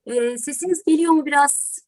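A woman's voice: high voice, moderate pace, darkish tone, dry recording, clean studio sound. A woman hosting an online event, speaking in a polite, formal, thankful manner. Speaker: host and teacher. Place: online video call.

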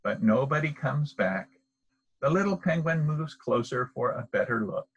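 A middle-aged man reads a children's story aloud in the open air. His pitch moves in a lively way and his voice has a husky edge. He speaks deliberately and expressively, as to young listeners. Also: dull audio. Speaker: storyteller reading a picture book aloud. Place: outdoors, in an open wooded park.

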